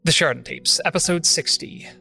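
Brisk, bright man reading podcast credits. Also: on the high side, creaky. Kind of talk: scripted narration. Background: music.

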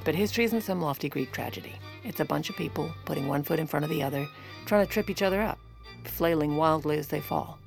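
A woman's voice: deep voice, quick pace, creaky, soft background music. An actress playing a wry, cynical First Lady in an audio drama, with a sardonic manner. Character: wry, cynical First Lady tending her ailing husband. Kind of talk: dramatic podcast narration and dialogue.

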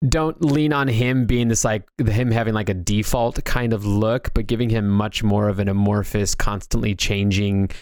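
A man's voice: on the low side, steady tone, normal speed, hardly any pauses, a little mellow, dry recording. A man hosting a casual pop-culture discussion, talking in a loose, rambling way and often repeating himself. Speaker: podcast host. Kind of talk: casual discussion of video game movies.

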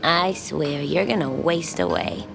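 Casting: folksy diner waitress, coaxing and flirty, unhurried with a creaky edge, audio drama. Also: female voice, low-pitched, sing-song, music in the background, heavily acted. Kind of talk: naturalistic audio drama dialogue.

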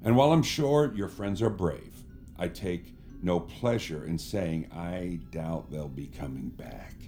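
Smarmy male celebrity, low swooping voice, pompous, evasive, grandiose, audio drama. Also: lively intonation, measured pace, dark tone, soft background music. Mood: probing, solemn. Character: smarmy, theatrical celebrity actor posing as a savior.

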